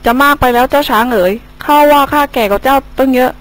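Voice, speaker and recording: A woman's voice: high voice, fast talker, close to an everyday voice, poor audio. A woman voicing a mischievous, argumentative monkey in a children's story, brash and taunting. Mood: indignant. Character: mischievous, quick, argumentative monkey who teases.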